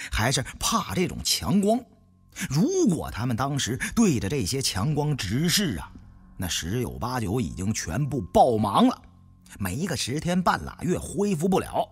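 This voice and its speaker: Guy reading a thriller audiobook, super expressive swings in pitch, faint music behind. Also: slightly rough. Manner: animated, expressive storytelling. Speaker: audiobook narrator. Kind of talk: dramatic scripted narration.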